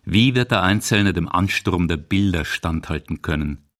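A man narrates a radio feature from a script. His voice is very deep, and the delivery is that of documentary narration. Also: animated, moderate pace, fairly bright tone.

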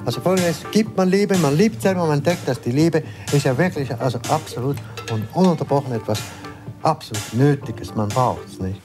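A man musing spontaneously in an archive interview, halting, rephrasing and emphatic. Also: a little high, over music.

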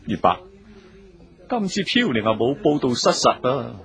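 A man's voice: medium pitch, very animated, slow. A man playing a brash, worldly, teasing boss in a radio drama, with a swaggering manner. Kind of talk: Cantonese radio drama acting.

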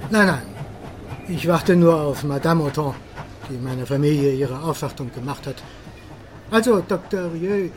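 An actor playing a stiff, formal bourgeois magistrate in a radio drama. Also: male voice, fairly high pitch, normal speed, pauses often, slight background noise, lightly acted. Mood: formal, inquisitive.

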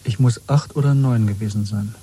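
An actor playing a weary, bitter, quietly defiant dissident in a radio drama, husky and intimate, speaking slowly and broodingly. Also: male voice, on the low side. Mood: somber recollection. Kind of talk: 1970s German radio play acting.

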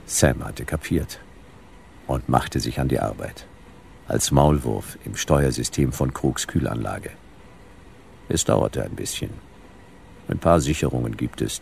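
An actor playing a laconic, world-weary detective who narrates a hardboiled radio drama in a deadpan, gravelly voice. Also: male voice, very deep voice, slight background noise, exaggerated voice. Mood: dry, suspenseful. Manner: deadpan, terse. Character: laconic, world-weary male detective telling his story.

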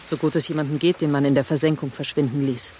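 An actor, a man, playing a tough, driven police investigator in their thirties in a radio crime drama, with a sharp, sarcastic, interrogating manner. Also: a little high, fairly even intonation, fast, a little hiss or hum, close to an everyday voice. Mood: confrontational.

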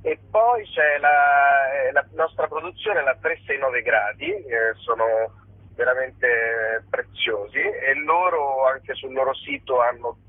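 Husky man on a tinny phone. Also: medium pitch, lively intonation, takes it slowly. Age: middle-aged. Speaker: actor interviewed as guest. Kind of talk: casual radio interview. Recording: tinny phone line with echo.

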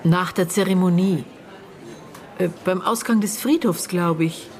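An actress playing a prickly, guarded aunt questioned as a witness in a radio drama, hesitant in recollection and curt in reply. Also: female voice, on the low side, measured pace, a little mellow, some chatter behind. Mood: guarded, recollecting.

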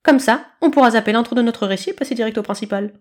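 Storybook kitten , female reader: bold would-be adventurer, excitable, loud and grandiose. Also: fairly high pitch, fast, natural voice. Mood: gleeful. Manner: grandiose, exclaiming.

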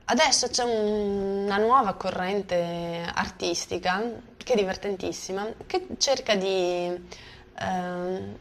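A woman from Bosnia, a native Bosnian speaker, giving informal conversational commentary and often checking for agreement with tag questions. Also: medium pitch. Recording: faint background noise.

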